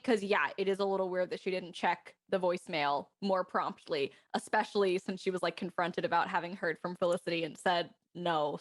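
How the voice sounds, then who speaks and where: A woman's voice: mid-range voice, a bit bright. A woman chatting casually as a panel guest, thinking theories through in slangy speech. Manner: slangy, speculative. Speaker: cast member on a panel. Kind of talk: casual chat.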